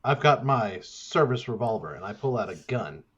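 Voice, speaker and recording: Medium-pitched, joking tabletop game player. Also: expressive, normal speed, fairly bright tone, slightly rough, dull audio. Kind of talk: casual improvised tabletop roleplay.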